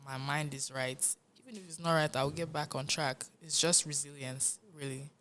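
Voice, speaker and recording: A young Nigerian woman, a musician, talking in a casual interview, animated and earnest, often laughing. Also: sits low, moderate pace, a little hiss or hum. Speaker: musician guest.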